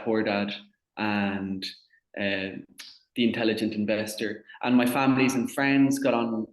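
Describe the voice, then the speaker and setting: A man's voice: lowish voice, echoey room, low-quality recording. A young man from Ireland, a guest in a casual interview, who talks in a chatty way and often restarts and repeats words.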